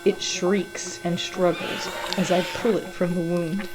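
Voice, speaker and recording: A woman's voice: on the low side, little pitch movement, slow, crowd noise, low-quality recording. A woman giving a cold, menacing, clinical first-person narration of a horror story. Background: music.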